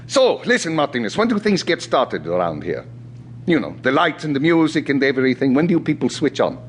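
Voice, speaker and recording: An actor playing a restless, talkative South African ex-soldier in an audio drama, chatty and colloquial. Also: male voice, mid-range voice, big pitch swings, fairly quick, a little hiss or hum, natural voice. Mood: eager, joking. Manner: chatty, colloquial, punctuated by sighs and short laughs. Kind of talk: audio theatre acting.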